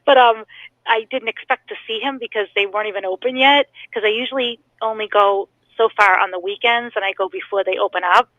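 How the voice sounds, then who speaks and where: A woman's voice: medium pitch, steady tone, a little fast, a bit bright, dry recording, lo-fi sound. A woman from Massachusetts with a Boston accent, calling in to a live podcast and talking hesitantly with lots of ums and laughs. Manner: hesitant, many ums, giggly. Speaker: caller. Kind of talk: casual call-in chat.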